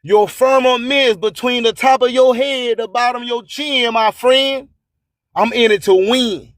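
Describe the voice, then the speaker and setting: A man's voice: very high voice, unhurried, fairly bright tone, dry recording, clean studio sound. A man hosting a radio show, delivering a motivational spoken-word sermon in an emphatic, preachy manner, repeating phrases for effect. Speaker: radio host and motivational speaker.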